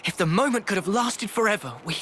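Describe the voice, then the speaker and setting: A man playing a gentle, earnest, resolute young hero in a video game cutscene, soft-spoken and reflective. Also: sits high, fast talker, a little hiss or hum. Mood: wistful. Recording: music clearly behind the voice.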